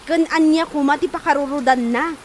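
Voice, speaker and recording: A woman's voice: high-pitched, brisk, slight background noise, lightly acted. A woman acting a good-natured, proud village woman in an Ilocano comedy drama, with an Ilocano accent. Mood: puzzled, indignant.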